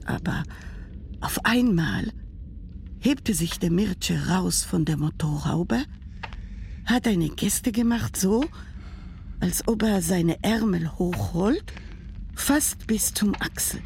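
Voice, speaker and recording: An actress playing an elderly grandmother who tells a grandchild about her childhood, speaking haltingly with broken grammar. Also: lowish voice, expressive, vocal fry, darkish tone, a little hiss or hum, full character voice. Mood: grave. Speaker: narrator figure telling a childhood memory. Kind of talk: radio drama monologue.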